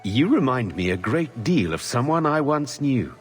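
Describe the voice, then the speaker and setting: A courteous nobleman from a fantasy game, voiced by a man. He is genial, with an animated middle-range voice over faint music. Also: mid-range voice, normal speed, close to an everyday voice. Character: courteous, genial nobleman, mildly flustered gentleman. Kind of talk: video game cutscene acting.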